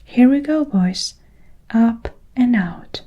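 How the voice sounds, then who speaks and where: Lady whispering as a giddy eccentric candy man, breathy and slow, storybook read. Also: on the high side, animated, highs cut off, voice pushed up. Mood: gleeful. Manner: whispered, gleeful. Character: eccentric cheerful male candy maker.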